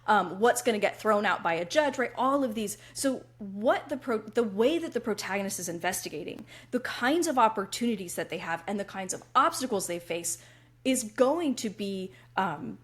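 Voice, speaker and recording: A woman's voice: mid-range voice, expressive, normal speed. A woman podcast co-host with a slightly froggy voice, explaining writing craft conversationally and checking in as she goes.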